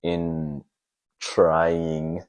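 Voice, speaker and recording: A man's voice: extremely low pitch, steady tone, drawn-out words, gravelly, a little hiss or hum. A young adult man chatting casually as a podcast guest, informal and peppering his speech with "like". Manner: informal, frequent "like" as filler. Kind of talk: casual chat.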